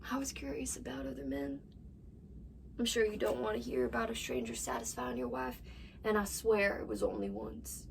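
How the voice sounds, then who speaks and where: A woman's voice: mid-range voice, sing-song, normal speed, vocal fry. A woman performing a dramatic monologue as a desperate young farm wife confessing to her absent husband in an anguished manner. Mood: guilty, hushed. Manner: anguished, swinging between whispers and outbursts. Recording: distant mic with faint background noise.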